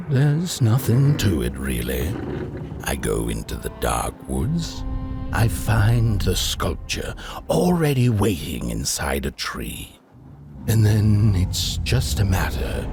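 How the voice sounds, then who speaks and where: A man playing a theatrical storyteller of dark fairy-tale fables in a video game, creaky and acting out characters. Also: low voice, wildly swooping pitch, slow, full character voice. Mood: theatrical storytelling. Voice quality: gravelly, creaky. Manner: theatrical, acts out quoted speakers. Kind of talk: scripted fable narration. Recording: music under the voice. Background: music.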